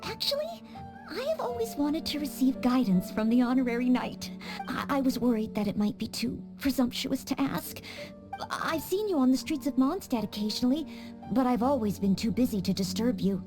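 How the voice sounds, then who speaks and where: An actress voicing an earnest, polite young maid training to be a knight in a game cutscene, with small hesitant stammers. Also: female voice, high voice, sing-song, normal speed, music in the background. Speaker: game character.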